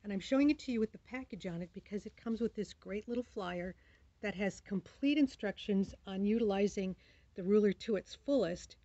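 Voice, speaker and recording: A woman's voice: medium pitch, brisk, mellow. An older woman giving a chatty, enthusiastic quilting demonstration at a table in a fabric store. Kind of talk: casual product demo. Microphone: camera in front at table. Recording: slightly dull lo-fi sound.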